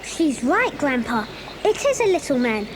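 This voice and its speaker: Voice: high-pitched, big pitch swings, measured pace, noisy background. An actor playing a polite, wide-eyed young boy in an audio dramatisation, speaking with earnest wonder. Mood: awestruck.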